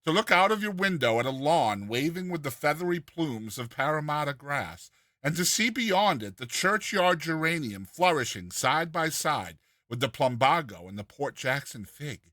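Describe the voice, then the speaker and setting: Animated, bright-voiced male storyteller. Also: a little high, moderate pace, no echo, studio quality. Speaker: reader and narrator of a short story. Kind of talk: dramatized storytelling narration of a humorous classic essay.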